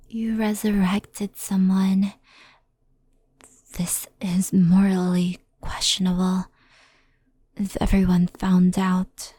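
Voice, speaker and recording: Girl doing a stern fox spirit for asmr roleplay, soft breathy voice, slow n measured, super close and dry. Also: mid-range voice, dry recording. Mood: grave, scolding. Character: stern, composed female fox-spirit yokai, cautious and scolding.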